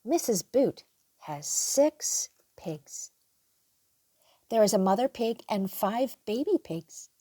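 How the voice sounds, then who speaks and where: An older woman reading a children's picture book aloud in an expressive, unhurried way, acting out the dialogue. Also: mid-range voice, dry recording, studio quality. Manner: animated, unhurried, acts out dialogue. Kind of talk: expressive read-aloud storytelling for young children.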